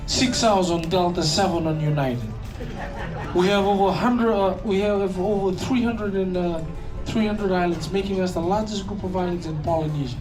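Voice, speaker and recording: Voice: mid-range voice, normal speed. Distant presenter over music. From Fiji. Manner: joking with the crowd, then storytelling. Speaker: cultural show presenter. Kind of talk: live stage presentation to an audience. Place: live show venue with audience.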